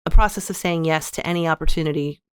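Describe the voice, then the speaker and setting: A woman in her forties talks through her experiences in an earnest, reflective way, restarting sentences as she goes. Her voice sits on the low side. The sound is dry, with no room echo. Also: fast, smooth. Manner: earnest, reflective, frequent self-corrections. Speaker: guest author. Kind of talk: candid interview conversation.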